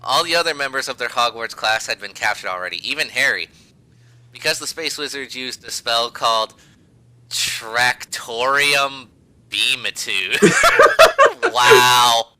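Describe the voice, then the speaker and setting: A man's voice: mid-range voice, sing-song, measured pace, pushed and bright, slight background noise, low-quality recording. A man reading fan fiction aloud as a podcast host, amused and hamming up the emphasis. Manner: breaks into laughter while reading, hammy emphasis.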